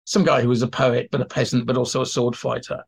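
A man with a medium-pitched voice talks as a knowledgeable interview guest. He explains things informally and anecdotally, in loose, colloquial sentences. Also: flat delivery, fast talker, creaky, slightly rough. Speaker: expert guest, theatre historian.

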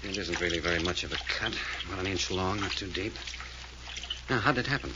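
An actor in 1940s radio style playing a level-headed American adventurer who leads his companions in a crisp, no-nonsense way. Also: deep voice, fairly quick, lightly acted. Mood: calm, businesslike. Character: level-headed, brisk, commanding adventurer, leader of trio. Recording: dull, noisy old-time radio recording.

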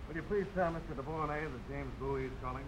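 A man's voice: fairly high pitch, racing, natural voice, low-quality recording. An actor playing a firm, courteous, plain-spoken young frontiersman in a radio drama. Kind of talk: 1950s radio drama acting. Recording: hissy old radio broadcast.

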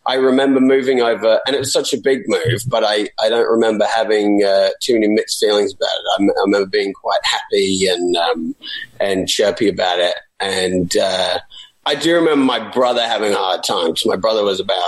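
A young man from England talks through his upbringing as a guest in a relaxed interview. He speaks with a fairly low voice and a loose, hesitant flow, pausing on fillers and restarting his sentences as he thinks. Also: low-quality recording. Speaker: actor guest. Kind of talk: conversational interview.

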